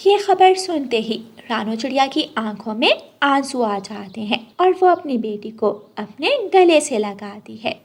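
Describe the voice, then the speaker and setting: A woman narrates a scripted story for a children's cartoon. Her voice is moderately high. It has a raspy, husky grain. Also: expressive, a little slow, few pauses.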